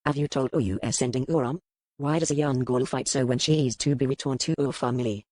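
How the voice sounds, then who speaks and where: A man playing a smooth, probing French merchant with a French accent in a historical romance audiobook. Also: medium pitch, steady tone, fast, a little mellow, no echo, clean studio sound, close to an everyday voice. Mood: insistent, disapproving. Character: suave, probing French merchant in colonial port. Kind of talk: dramatised audiobook dialogue.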